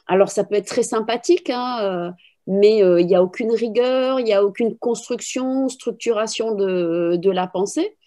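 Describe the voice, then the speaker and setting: A woman's voice: medium pitch, normal speed, dark tone. A middle-aged woman giving spontaneous explanations in an interview on a video call, thinking aloud with frequent little tags. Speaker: philosophy teacher and trainer, interviewee. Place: room at home with bookshelves, indoors. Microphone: video call, computer microphone.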